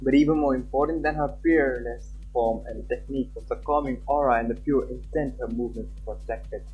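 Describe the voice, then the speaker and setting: A man's voice: mid-range voice, fairly even intonation, a little fast, slightly rough. A young man live-streaming a game, chatting casually and reading on-screen text aloud. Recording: dull lo-fi mic with background music. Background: game music.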